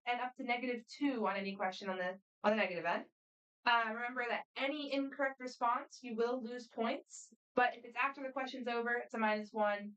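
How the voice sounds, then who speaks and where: A woman moderating a student quiz competition, reading questions aloud in a brisk, friendly, matter-of-fact way. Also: on the high side, a bit bright, dull audio. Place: competition room. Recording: voice picked up from a distance.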